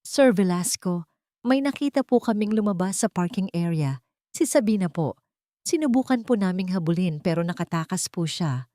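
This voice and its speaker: A woman's voice: on the low side, animated, normal speed, mellow, dry recording, studio quality, lightly acted. A woman playing a dutiful policeman who gives a polite report in an audio drama story. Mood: urgent, respectful. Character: dutiful policeman reporting respectfully to a rich man.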